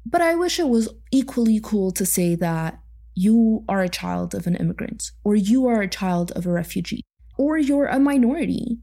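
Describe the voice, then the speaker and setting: A woman's voice: mid-range voice, animated, normal speed, dark tone. A young woman hosting a solo podcast, talking reflectively with small laughs and asides. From Egypt. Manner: thoughtful, with light laughs and asides. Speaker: podcast host.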